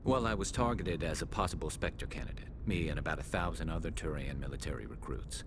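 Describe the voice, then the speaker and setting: Alien police officer, deep, earnest, impatient, game dialogue, clear rumbling background noise. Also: male voice, quick pace. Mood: candid. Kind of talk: video game character dialogue.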